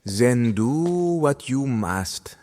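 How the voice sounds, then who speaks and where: A man performs a grave, concerned nobleman, an older brother in a fantasy audio drama. He speaks extremely slowly, in a soft-edged, dark tone. A long hall reverb trails his measured words. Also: mid-range voice. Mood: grave, resigned.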